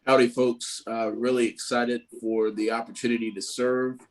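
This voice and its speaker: A man's voice: medium pitch, level tone, moderate pace. Friendly man introducing himself. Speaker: new board member. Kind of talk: brief self-introduction.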